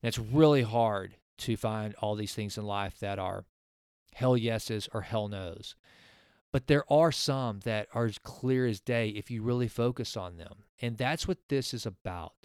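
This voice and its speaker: A man's voice: fairly low pitch, unhurried, a little mellow, smooth, no echo, studio quality. Earnest rambling podcast host in his forties. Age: 40s. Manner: earnest, rambling, frequent restarts and fillers. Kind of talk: casual solo podcast talk with a sponsor read.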